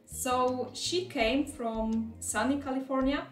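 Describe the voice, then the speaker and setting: A woman's voice: high voice, steady tone, takes it slowly, recorded from a distance, soft background music. Woman host in an echoey room. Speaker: interviewer, university recruitment host. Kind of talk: interview hosting.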